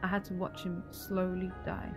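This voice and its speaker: A woman's voice: on the low side, completely flat delivery, moderate pace, music in the background, natural voice. A woman playing an earnest, emotional, wronged widow in a sci-fi audio drama. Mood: bitter, grieving.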